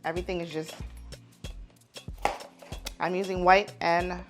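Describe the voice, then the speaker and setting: A woman's voice: lowish voice, level tone, unhurried, over music. A playful, jokey woman from Haiti, raised in New York, casually hosting a cooking tutorial and often checking in with the viewer. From born in Haiti, raised in New York, United States. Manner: repeats words for emphasis and checks in with "right?" and "okay?". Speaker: chef and cooking show host.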